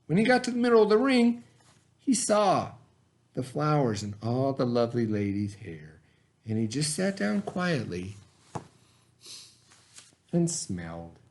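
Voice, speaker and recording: A man reading aloud and talking to children in simple, expressive phrasing, like a teacher. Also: medium pitch, measured pace, long pauses. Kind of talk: children's story read-aloud, then informal talk to children.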